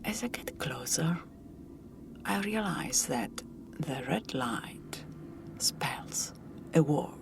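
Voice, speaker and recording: A woman telling a scripted first-person story in a hushed, deliberate, dramatic manner. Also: deep voice, lively intonation, vocal fry, in a whisper. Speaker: audio storyteller.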